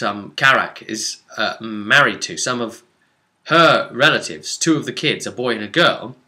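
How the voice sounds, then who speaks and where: A man's voice: medium pitch, bright, forceful tone. A man recounting a tabletop fantasy game as game master, telling it like a story with asides to the listener. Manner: storytelling with rhetorical asides to the audience.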